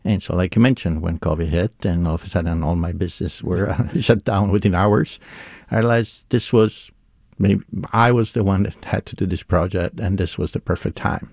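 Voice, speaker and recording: Deep gravelly man, Italian accent. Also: darkish tone, dry recording. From Italy. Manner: thoughtful, halting with fillers. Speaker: photographer guest. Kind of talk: radio interview.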